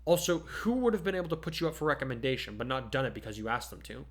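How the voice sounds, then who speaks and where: A man's voice: mid-range voice, rapid-fire, lightly acted. A man voicing an insistent, direct teenage girl hero student in a dramatic fan fiction reading. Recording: roomy, echoing home recording.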